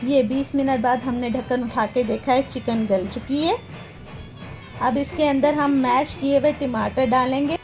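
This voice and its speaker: A woman's voice: sits high, steady tone, darkish tone, soft background music. A woman hosting a home cooking channel, explaining each step of a recipe patiently in a plain, homely way, recorded on a phone in her kitchen. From Pakistan.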